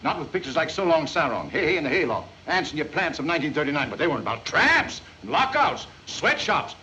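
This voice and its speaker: Wry american studio boss from a 40s movie comedy, high voice swooping around, quick, dull old soundtrack. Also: male voice, slight background noise. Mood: coaxing. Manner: punchy, animated, wisecracking. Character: wry, commercially minded Hollywood studio boss. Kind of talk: 1940s Hollywood film comedy acting.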